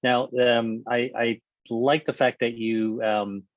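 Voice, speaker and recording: Man hosting a webinar, halting. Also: mid-range voice, little pitch movement, no echo, muffled recording. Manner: halting, repeats words and uses fillers. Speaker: webinar host. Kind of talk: conversational interview.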